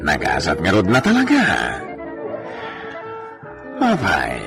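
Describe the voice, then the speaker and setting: A man with an Ilocano accent playing a flattering, probing gentleman friend in a radio drama, theatrical and drawling. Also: low-pitched, takes it slowly, over music, full character voice.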